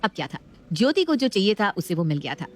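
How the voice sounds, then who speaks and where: A woman's voice: mid-range voice, sing-song, fast talker. A woman storyteller narrating a scripted family tale.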